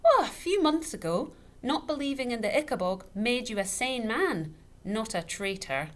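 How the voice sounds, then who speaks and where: A woman voicing a stubborn, tipsy father in a children's story, loud, emphatic and scornful, close to shouting. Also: extremely high pitch, big pitch swings, moderate pace, pitched above the performer's own voice, heavily acted. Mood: jeering. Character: tipsy, stubborn, jeering father arguing loudly. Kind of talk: children's audiobook character voice. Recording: noticeable background noise.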